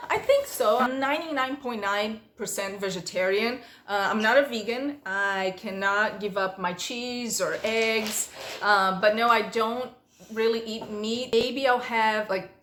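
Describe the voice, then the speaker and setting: A woman's voice: fairly high pitch, fairly bright tone, a little hiss or hum. Chatty woman with Portuguese roots, echoey room. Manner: chatty storytelling, reads each question aloud then answers. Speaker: vlogger. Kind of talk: casual Q&A vlog.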